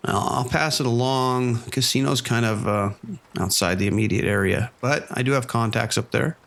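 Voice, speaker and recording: An actor playing a patient male police officer, questioning an informant in a measured, skeptical way in an audio drama. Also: medium pitch, vocal fry, fairly bright tone.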